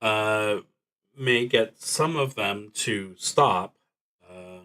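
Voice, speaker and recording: Slow, low-voiced man thinking aloud. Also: sharp tone. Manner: halting, deliberate, trailing off between thoughts. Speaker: solo tabletop roleplaying streamer. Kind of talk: thinking aloud while playing a solo roleplaying game.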